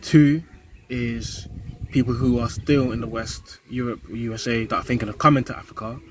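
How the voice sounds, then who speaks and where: A man's voice: mid-range voice, fairly even intonation, moderate pace, a little hiss or hum, poor audio. A young man with a London accent, talking to camera in a casual vlog, earnest, often repeating words as he finds them and ending points with a rising "yeah?". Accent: British, London. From United Kingdom. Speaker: vlogger. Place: home in Kenya.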